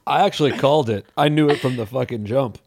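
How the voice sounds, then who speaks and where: A man's voice: medium pitch, brisk, a little mellow, a little hiss or hum, natural voice. A man playing a smug, bluffing self-styled playwright with a dry manner on an improvised comedy podcast.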